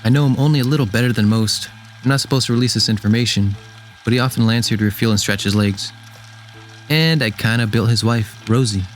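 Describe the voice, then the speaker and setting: A man's voice: mid-range voice, fast, faint music behind, lightly acted. A man playing an earnest, proud mechanic and android builder in an amateur sci-fi radio drama. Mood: confident.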